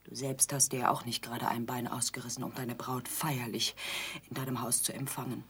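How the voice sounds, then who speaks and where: An actress playing a needling, aggrieved bride in a radio drama, with a pointed, dryly reproachful way of talking. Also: female voice, very deep voice, flat delivery, a little fast, husky, heavily acted. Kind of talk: theatrical radio drama dialogue.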